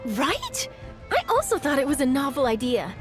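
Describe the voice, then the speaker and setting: An actress voicing a cheerful young woman reporter in a video game, bright and bubbly with quick asides. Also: high voice, wildly swooping pitch, faint music behind. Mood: upbeat.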